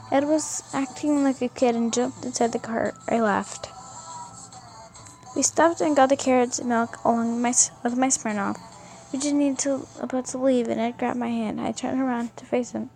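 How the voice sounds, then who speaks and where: A woman reading a first-person story aloud as an amateur narrator, haltingly, often stumbling and rereading words. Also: high voice, darkish tone. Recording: music behind the voice. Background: music.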